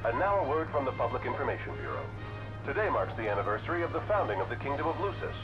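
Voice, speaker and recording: An actor playing a male news announcer, reading a scripted bulletin in a formal, measured manner. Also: medium pitch, very animated, muffled recording, music in the background. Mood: formal, composed. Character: brisk male radio news announcer in fantasy city.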